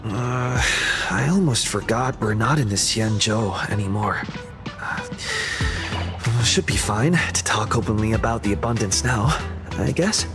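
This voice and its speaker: A man's voice: on the low side, lively intonation, a little slow. A man voicing a modest, careful, easygoing character in an anime-style video game, polite and slightly hesitant. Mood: tentative. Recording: background music under the voice.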